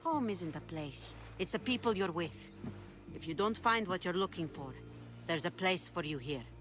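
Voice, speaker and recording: An actress playing a seasoned, worldly pirate captain in a video game, frank and measured. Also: female voice, lowish voice, animated, fairly bright tone, slight background noise. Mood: wistful. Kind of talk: video game cutscene acting.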